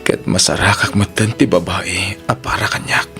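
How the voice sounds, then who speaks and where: Low-voiced heartbroken young Ilocano man, acted by a man. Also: fairly even intonation, normal speed, gravelly, pushed and bright, full character voice. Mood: resigned, wistful. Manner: earnest, wistful. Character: heartbroken, devoted young man, rejected but accepting. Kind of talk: Ilocano radio-style romance drama acting. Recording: music bed under the voice. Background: music.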